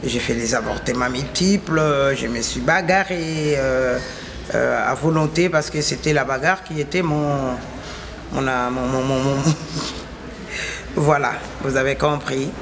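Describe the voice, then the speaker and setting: A woman's voice: very deep voice, measured pace, noisy background. A woman from Kinshasa with a Congolese French accent, whose first language is Lingala, giving an emphatic religious testimony and restating her phrases in repetitive lists. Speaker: testifying believer.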